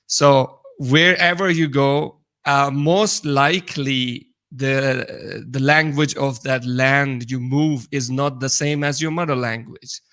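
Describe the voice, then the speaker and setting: A man with a Pakistani accent co-hosting a conversational podcast in English as a second language, halting and restarting his words. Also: mid-range voice, steady tone, a bit bright. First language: not English. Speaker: podcast co-host. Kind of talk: conversational podcast talk about immigrant experiences.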